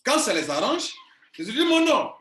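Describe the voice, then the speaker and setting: Insistent man, high voice. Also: big pitch swings, a little fast, fairly bright tone, a little hiss or hum. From Ivory Coast. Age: 40s. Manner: insistent, emphatic, repeats himself. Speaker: online business coach. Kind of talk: video monologue to viewers. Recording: echoey room.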